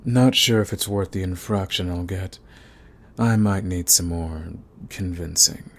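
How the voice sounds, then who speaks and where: A man playing a smug, flirtatious villain who is fond of the enemy, in an intimate ASMR romance roleplay with taunting, drawling menace. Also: low-pitched. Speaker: audio roleplay voice actor.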